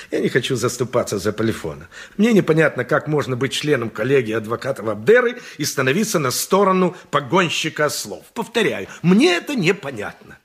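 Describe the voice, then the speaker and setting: An actor playing a haughty, self-righteous lawyer in a radio play, declaiming pompously with indignant, grandiose oratory. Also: male voice, fairly high pitch, fairly bright tone, breathy edge, heavily acted. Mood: sarcastic indignation. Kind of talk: 1980s radio play monologue.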